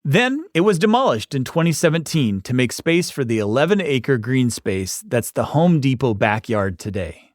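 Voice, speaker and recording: A man's voice: mid-range voice, sing-song, moderate pace, dry recording. A man reading scripted, informative narration as the host of a documentary series.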